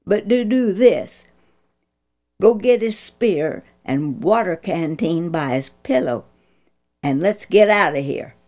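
A woman's voice: fairly low pitch, animated, slow pace, a little mellow. A woman voicing an earnest young male hero, calling out and pleading in a storybook reading. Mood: firm.

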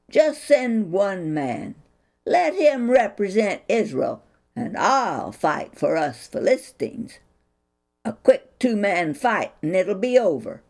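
A woman voicing a boastful giant warrior in a Bible storybook reading, with slow, loud taunts. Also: mid-range voice, vocal fry, heavily acted.

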